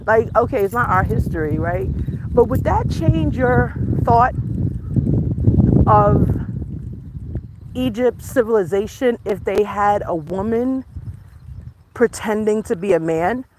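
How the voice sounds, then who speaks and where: A woman from the United States hosts a casual livestream and rambles to her viewers. She talks in a loose, animated way, full of asides. She is recorded outdoors while out on a walk. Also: medium pitch, takes it slowly, pauses often, heavy background noise. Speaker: livestream host. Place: outdoors on a walk, rural or natural setting.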